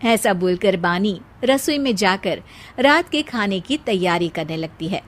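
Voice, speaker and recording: Woman narrating a cartoon family story, middle pitch, scripted storytelling. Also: lively intonation, measured pace.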